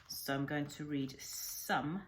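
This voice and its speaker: A woman reading a storybook aloud like a primary teacher, with deliberate, expressive phrasing. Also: deep voice, a little hiss or hum.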